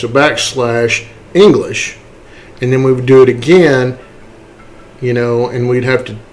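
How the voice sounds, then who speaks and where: Man giving a casual software tutorial. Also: mid-range voice, a little hiss or hum. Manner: explanatory, often checking in with "okay" and "right". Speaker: tutorial instructor.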